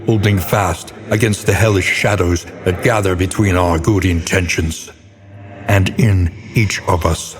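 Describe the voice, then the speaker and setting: A man playing a brooding, eloquent mentor who narrates a dark video game in a solemn, theatrical, portentous manner. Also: deep voice, fairly even intonation, measured pace, big-room sound. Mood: grave, reflective. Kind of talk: dramatic scripted game narration.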